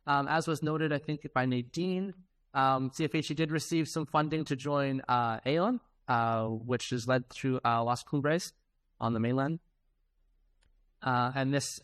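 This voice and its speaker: A middle-aged man presenting software to a conference in an informal, explanatory, conversational way. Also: mid-range voice, smooth.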